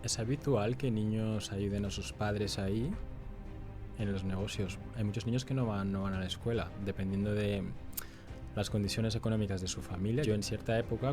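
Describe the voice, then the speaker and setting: A young man from the Canary Islands speaks as a podcast guest, his voice fairly low. He tells his story reflectively, pausing and restarting phrases as he goes. Faint music plays underneath. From Canary Islands, Spain. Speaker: guest, actor and writer. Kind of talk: conversational storytelling.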